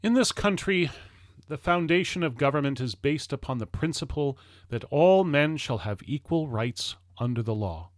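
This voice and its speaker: A man reading a historical speech aloud as formal oratory, solemn and declamatory, with deliberate pauses between measured phrases. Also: a little high, animated, no room sound, studio quality.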